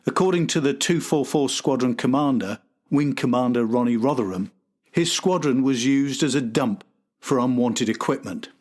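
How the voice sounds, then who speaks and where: A man's voice: mid-range voice, moderate pace, a little mellow. A man hosting a podcast, reading a scripted historical documentary narration.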